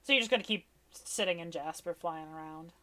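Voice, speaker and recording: Woman, lowish pitch, game master, improvised tabletop narration, informal, wry. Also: brisk, bright, forceful tone.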